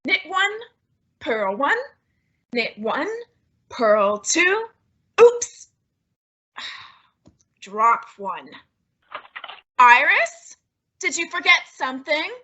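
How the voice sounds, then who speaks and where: A woman playing a flustered, secretive and affectionate housewife in an old-time radio sitcom, with animated, exclamatory delivery. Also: high voice, extremely slow, long pauses, muffled recording, exaggerated voice. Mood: absorbed. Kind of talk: 1940s radio sitcom acting.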